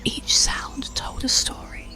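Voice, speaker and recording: A storyteller narrating an ASMR bedtime story in a soothing, deliberate whisper. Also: music in the background. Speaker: audiobook storyteller.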